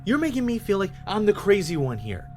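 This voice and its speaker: An agitated man in a podcast drama, pleading as if he is being manipulated. His pitch runs a little high and moves about a lot, with faint music behind. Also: huge pitch swings, a little fast. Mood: distraught. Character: distraught, agitated man who feels manipulated. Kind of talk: audio drama acting.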